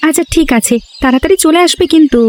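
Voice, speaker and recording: High-pitched little boy played by a woman, plaintive, pleading, cartoon village child, faint music behind. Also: fast talker, lightly acted. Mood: childlike grumbling. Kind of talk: cartoon dub acting.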